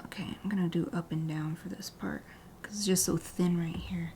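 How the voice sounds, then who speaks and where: A woman artist chatting casually during a livestream as she paints, rambling and often dropping to a soft murmur, with light laughter. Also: fairly low pitch, flat delivery, normal speed, creaky, slight background noise. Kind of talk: casual chat while painting.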